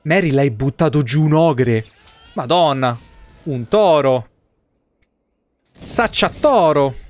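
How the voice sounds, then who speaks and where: A man's voice: fairly high pitch, expressive, takes it slowly. A man, giving casual, slangy live gameplay commentary as a streamer. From Italy. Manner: colloquial, slangy. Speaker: game streamer. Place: indoor streaming room.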